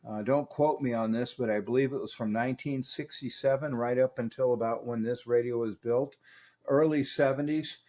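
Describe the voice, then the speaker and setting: Man, medium pitch, informal hobbyist repair narration, conversational, matter-of-fact, opinionated. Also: steady tone, moderate pace, warm tone. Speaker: radio repair hobbyist, video host.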